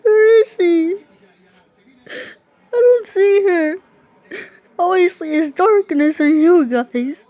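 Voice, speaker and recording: A woman playing a frightened, lost child in an amateur audio drama, whimpering and pleading in a halting way. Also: very high-pitched, warm tone, no echo, voice pushed up. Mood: frightened, confused.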